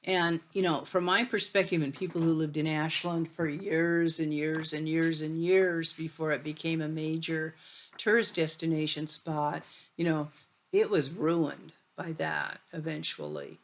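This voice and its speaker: A woman's voice: deep voice, measured pace, highs cut off. A middle-aged woman from Ireland with an Irish accent, giving a conversational university lecture full of restarts and asides. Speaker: college lecturer.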